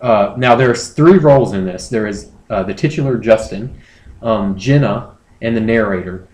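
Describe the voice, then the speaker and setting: A man hosting a comedy podcast, talking casually in a joking, halting way. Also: lowish voice, darkish tone. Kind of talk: casual chat. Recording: echoey room.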